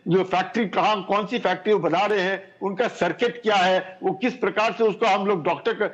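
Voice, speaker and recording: A man's voice: sits high, fairly even intonation, fairly quick, muffled recording. A man giving live news analysis in emphatic, broken phrases with English technical words mixed in. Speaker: expert commentator.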